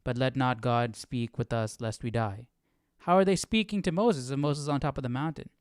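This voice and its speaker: Clear, dry-voiced man puzzling aloud. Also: mid-range voice, moderate pace, dry recording. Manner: questioning, puzzled, informal. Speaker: Bible study livestream host. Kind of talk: casual thinking aloud, reading scripture.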